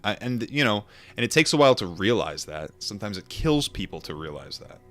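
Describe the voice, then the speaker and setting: A man reading a book aloud and adding informal asides, in a measured, deliberate manner. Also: fairly low pitch, very animated, a bit bright, soft background music. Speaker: audiobook reader and commentator.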